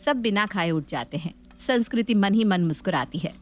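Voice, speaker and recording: A woman's voice: mid-range voice, lively intonation, normal speed, soft background music. A woman narrating a scripted moral family story as a storyteller.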